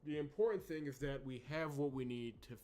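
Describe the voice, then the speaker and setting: A man doing let's play commentary, thinking aloud in animated bursts. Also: a little high, fairly quick. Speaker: game playthrough host. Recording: music behind the voice. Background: video game music.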